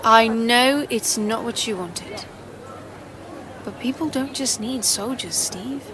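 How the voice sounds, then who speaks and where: A woman's voice: a little high, slow, background noise. A woman playing a poised, supportive but firm military agent in an audio drama. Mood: encouraging. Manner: encouraging, composed.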